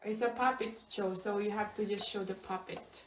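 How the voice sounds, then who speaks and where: A woman's voice: mid-range voice, fairly even intonation, normal speed. Woman in a roomy, distant recording. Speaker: adult helper prompting a child's puppet show. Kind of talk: casual prompting of a child. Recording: roomy, distant mic, faint noise.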